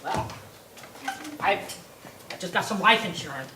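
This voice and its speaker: A woman's voice: fairly low pitch, expressive, unhurried, sharp tone, roomy sound, distant mic, a little hiss or hum, voice pushed down. A woman voicing a desperate young man who stammers and begs for help. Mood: pleading. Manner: stammering, repeats words.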